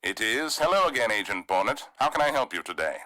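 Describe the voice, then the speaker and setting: Slippery collector, gracious surface, animated pitch. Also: male voice, fast talker. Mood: courteous. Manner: polite, evasive, lively pitch. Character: smooth, guarded male museum collector. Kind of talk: video game voice acting.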